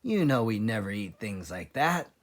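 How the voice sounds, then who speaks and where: A cunning storybook father fox, played by a man, excitable and bossy. He relishes every word and swings through a lively range of pitch. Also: normal speed. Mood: brisk, fond. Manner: exclamatory, relishing, commanding.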